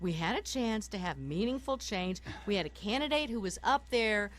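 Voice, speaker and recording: A woman with a hoarse voice from a summer cold, co-hosting a political talk radio show from home, ranting animatedly and laughing often as she talks. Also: medium pitch, animated, moderate pace, low-quality recording.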